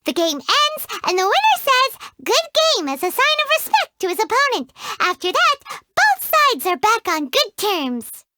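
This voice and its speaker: A woman voicing a tiny, excitable fairy companion in a video game who talks about herself in the third person. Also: a little slow, few pauses, heavy background noise, exaggerated voice. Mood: chirpy, excitable. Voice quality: high squeaky childlike voice. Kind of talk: video game character dialogue.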